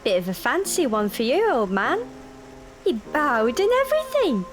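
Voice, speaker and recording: A woman's voice: sits high, wildly swooping pitch, moderate pace, soft background music. An actress playing a teasing, wry, streetwise young woman among London thieves in an audio drama.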